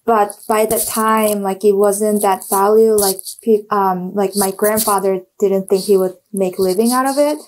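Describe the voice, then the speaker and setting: Young Korean-accented woman, halting. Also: mid-range voice, fairly even intonation, a little mellow, low-quality recording. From South Korea. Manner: many fillers and restarts, searching for words. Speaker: guest, designer. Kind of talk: casual interview chat. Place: small home room with bookshelves. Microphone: earphone mic on video call.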